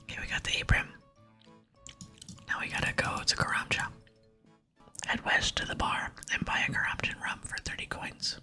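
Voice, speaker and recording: Woman, whispered ASMR gaming narration, crisp bright sibilance, close mic, soft unhurried delivery, music behind. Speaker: gaming video host. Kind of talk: whispered ASMR game playthrough with reading aloud. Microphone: close microphone.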